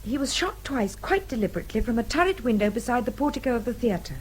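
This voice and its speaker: A young Englishwoman who refuses to be doubted. Her voice is fairly high and swings widely as she rattles out precise, emphatic points in an English accent. It is radio drama, with a little background noise. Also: big pitch swings, fast talker. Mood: firm, defiant. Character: insistent young Englishwoman, eyewitness who will not be doubted. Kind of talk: British radio drama acting.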